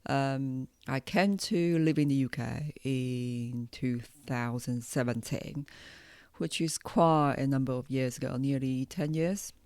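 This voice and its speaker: Female podcast host, Taiwanese accent. Slow, thoughtful, pausing delivery in a close, dry sound. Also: very deep voice, a little mellow, no room sound. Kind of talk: unscripted personal podcast monologue.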